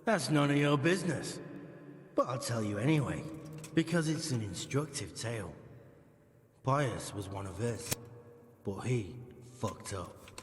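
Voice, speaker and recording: A man's voice: medium pitch, animated, a little slow, long pauses, natural voice. A man playing a wary, menacing criminal recruiter in a video game, curt, sardonic and coarse in manner. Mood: stern, commanding. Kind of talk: dramatic video game cutscene acting. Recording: reverberant hall echo.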